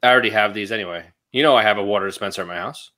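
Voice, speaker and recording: A man's voice: lowish voice, rapid-fire, bright, forceful tone. A middle-aged man in casual banter as a panelist on a video call from a room at home. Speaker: panelist on a toy collecting show.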